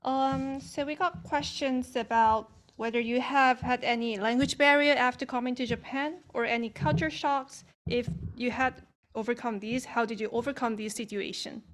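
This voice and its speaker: A woman moderating a Q&A session, moving briskly through questions. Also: fairly high pitch, fairly even intonation. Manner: brisk, organizing with "okay, so".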